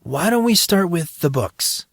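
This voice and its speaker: A man's voice: high-pitched, sing-song, measured pace, gravelly, a bit bright, no echo, studio quality, voice pushed up, exaggerated voice. A man voicing an awed, uneasy teenage boy with a hesitant, questioning manner in an audiobook. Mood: curious, stalling. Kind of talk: audiobook character dialogue.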